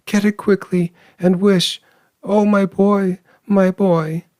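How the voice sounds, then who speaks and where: Frantic elderly mother, played by a male narrator, pitched well up, feverish and pleading, storybook drama, close dry sound. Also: slow, no echo. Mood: feverish insistence. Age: older. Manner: feverish, panting, excitable. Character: hysterical, desperate old mother, feverishly insistent.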